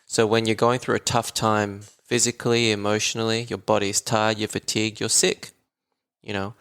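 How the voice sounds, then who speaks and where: Aussie guy on a podcast, lowish gravelly voice, excited and chatty, keeps laughing. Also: normal speed. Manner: enthusiastic, chatty, laughs often. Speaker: guest, voice therapist. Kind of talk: casual podcast conversation.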